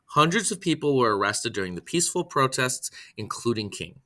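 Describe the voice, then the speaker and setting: Bright, expressive man reading aloud. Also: medium pitch, fairly quick. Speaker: reader, storyteller. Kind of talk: scripted read-aloud of a children's biography.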